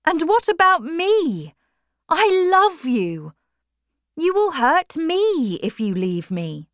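Desperate lovesick young man done by a lady reader, urgent, pitch all over the place. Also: wildly swooping pitch, slow, fairly bright tone, dry recording, clean studio sound, exaggerated voice. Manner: urgent, emotional.

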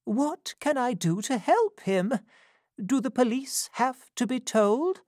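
A woman's voice: fairly high pitch, sing-song, slow pace, darkish tone, dry recording, studio quality, voice pushed up. Mrs Maylie, a woman doing a kindly older aunt.

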